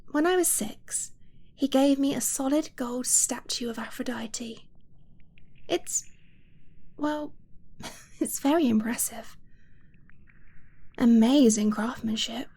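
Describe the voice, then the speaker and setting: A woman voicing an affectionate young dragon-blooded girlfriend in an ASMR romance roleplay, soft and breathy, often near a whisper, tender and confiding. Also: a little high, a little hiss or hum. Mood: fond, confiding. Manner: tender, unhurried, giggly, confiding.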